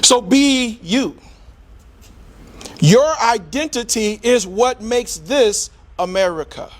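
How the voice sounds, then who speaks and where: A middle-aged man giving an impassioned, preacher-like public speech in a deliberate, rhetorical manner with emphatic repetition. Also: very high voice, expressive, noisy background. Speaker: community speaker.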